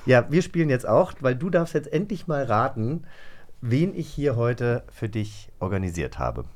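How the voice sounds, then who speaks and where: A man's voice: mid-range voice, big pitch swings, normal speed, a little mellow. A man co-hosting a podcast in casual, playful chat, effusive and teasing. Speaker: podcast co-host.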